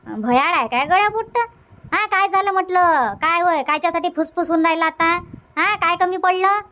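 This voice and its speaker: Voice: very high voice, moderate pace, sharp tone. A performer voicing a grumpy, suspicious old cartoon grandmother who snaps out questions in a Varhadi Marathi accent. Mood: irritated. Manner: snappish, scolding, firing questions. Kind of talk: cartoon comedy dubbing.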